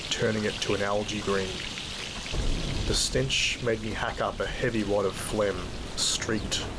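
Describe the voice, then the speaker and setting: Man acting as low-voiced weary Australian father, narrating. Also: flat delivery, measured pace, close to an everyday voice. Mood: weary, brooding. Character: weary, troubled working father narrating his grim job. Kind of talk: dramatic first-person narration in an audio drama. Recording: very noisy track, rain and storm ambience.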